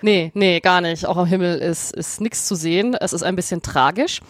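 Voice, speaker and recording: A woman co-hosting a podcast in casual chat, sounding a bit off from a cold but enthusiastic and quick to laugh. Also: on the low side, steady tone, no echo. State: has a cold. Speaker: podcast co-host, astrophysicist.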